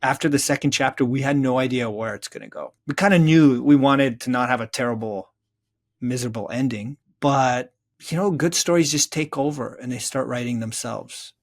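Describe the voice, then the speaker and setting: Male author guest: average pitch, conversational, thoughtful explainer who leans on key phrases. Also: moderate pace. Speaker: author being interviewed. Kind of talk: conversational interview answers.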